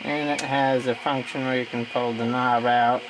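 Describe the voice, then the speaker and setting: A man giving a casual, unscripted gadget demonstration in a low-key, halting way, thinking aloud as he tinkers. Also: flat delivery. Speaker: radio hobbyist demonstrating a receiver. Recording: very noisy amateur recording with radio static.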